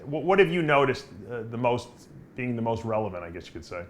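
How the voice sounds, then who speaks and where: A man's voice: medium pitch, big pitch swings, fast. A man with an American accent hosting an interview, asking conversational, roundabout questions. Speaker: talk show host, interviewer.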